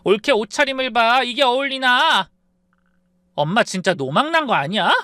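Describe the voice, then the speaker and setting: A man putting on a shrill, spoiled snob daughter. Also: big pitch swings, moderate pace, pushed and bright, no room sound, heavily acted. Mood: contemptuous. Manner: whiny, accusing outbursts. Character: spoiled, shrill adult daughter, snobbish and bratty.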